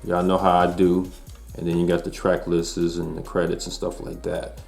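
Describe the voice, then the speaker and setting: A man in his forties hosting a casual album review, talking to viewers in a relaxed, slangy way. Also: low voice, moderate pace, vocal fry, soft background music. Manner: laid-back slangy talk to viewers.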